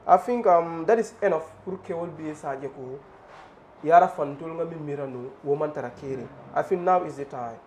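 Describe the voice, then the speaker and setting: A man's voice: fairly high pitch, moderate pace, a little mellow, a little hiss or hum. A man hosting a TV interview, affirming his guest and mixing local phrases into his English. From The Gambia. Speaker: TV host. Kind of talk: live TV interview.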